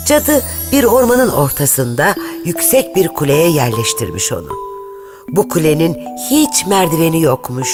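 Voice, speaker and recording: Brief: woman narrator for a cartoon fairy tale, lowish voice, very lively lilt, music clearly behind. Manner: lilting storytelling, lively intonation.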